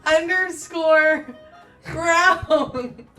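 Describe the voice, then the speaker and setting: A woman comedian guest, high and tangling her words, giggling as she loses her place in casual podcast chat. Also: steady tone, extremely slow, bright, forceful tone. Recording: distant mic with music behind.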